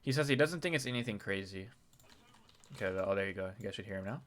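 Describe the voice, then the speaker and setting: A man who is sick and sounds a bit nasal, casually breaking down a game replay as a video host, often clearing his throat. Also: fairly low pitch, lively intonation, fast. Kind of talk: casual game analysis commentary.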